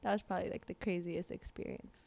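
A woman's voice: on the low side, fast talker, vocal fry. A young woman guest chatting casually on a podcast, telling a story with swearing and acted-out quotes. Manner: animated, swears, quotes others in her stories.

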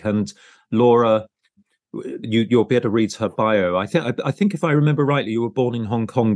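A man's voice: fairly low pitch, a little mellow, dry recording, clean studio sound. A man hosting a conversational interview podcast as a lecturer, talking in a rambling, discursive way and often rephrasing himself as he goes. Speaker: podcast host and art business lecturer.

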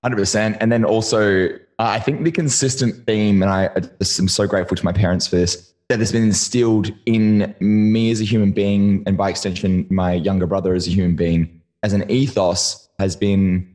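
Croaky-voiced Australian man, thirty. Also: on the low side, fairly even intonation, normal speed, darkish tone. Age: 30s. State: slightly croaky from singing. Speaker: podcast guest. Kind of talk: casual interview chat.

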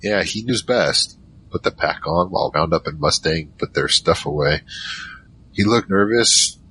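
A man acting a very deep-voiced, wary narrator. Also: lively intonation, measured pace, bright, forceful tone, slight background noise, full character voice. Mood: wry storytelling. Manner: conversational, rambling, wry. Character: wary, rambling male team member recounting a mission. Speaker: narrator and lead character. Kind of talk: first-person audio drama narration.

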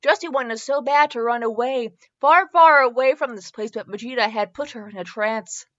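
A woman with a fairly high voice reads fan fiction aloud for laughs. She is giggly and stumbles as she goes, breaking off with her own comments. The recording is a little dull and lo-fi. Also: normal speed, fairly bright tone. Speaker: podcast host. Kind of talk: comedic dramatic reading of fan fiction.

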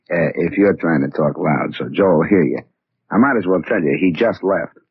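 An actor playing a scheming, sarcastic American racketeer in 1940s radio crime drama style, with a husky voice. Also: male voice, low-pitched, moderate pace. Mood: menacing. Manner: sneering, sarcastic tough-guy talk. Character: scheming male racketeer, menacing, sarcastic, cocky. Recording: dull old radio recording.

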